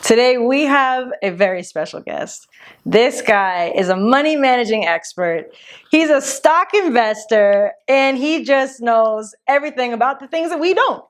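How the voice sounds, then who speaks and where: A woman's voice: fairly high pitch, lively intonation, measured pace. A woman hosting a casual podcast, opening the episode with an upbeat introduction of her guest. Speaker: podcast host. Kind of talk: show introduction and casual chat.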